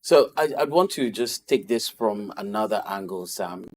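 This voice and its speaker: A man's voice: lowish voice, lively intonation, normal speed. A man co-hosting a studio talk show, questioning the guest in a conversational tone. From Nigeria. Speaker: co-host.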